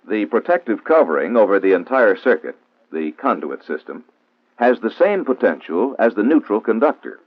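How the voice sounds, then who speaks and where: An American man reads a scripted instructional narration in a formal, measured, didactic way, in the style of a 1960s training film. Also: lowish voice, no room sound. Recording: old 1960s film soundtrack, narrow phone-like band, dry.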